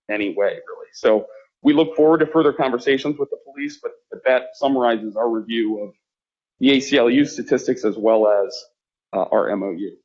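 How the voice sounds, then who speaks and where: A man, a school district official, presenting to a board in a measured way, with frequent fillers and restarts. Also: mid-range voice, highs cut off.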